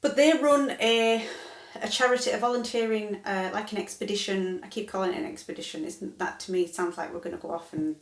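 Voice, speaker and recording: A woman's voice: medium pitch, a little fast. A woman in her thirties chatting casually to camera, rambling and correcting herself as she goes. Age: 30s. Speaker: vlogger. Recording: echoey room.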